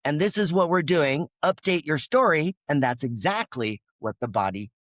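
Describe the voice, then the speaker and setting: A man's voice: fairly high pitch, animated, moderate pace, a bit bright, no echo, studio quality. A man who is a podcast guest, speaking in an emphatic, passionate way and now and then acting out his own inner voice. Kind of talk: energetic interview talk.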